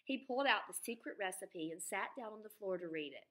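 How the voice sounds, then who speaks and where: A woman reading a storybook to children like a teacher, expressively, pausing to explain simply and ask the listeners questions. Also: medium pitch, animated, crowd noise.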